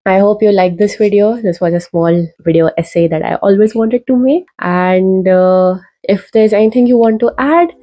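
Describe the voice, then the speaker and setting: A young woman with an Indian English accent makes an earnest, casual video essay to camera, with fillers and pauses as she urges viewers. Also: medium pitch, warm tone. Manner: earnest, persuasive, many fillers. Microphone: talking to camera.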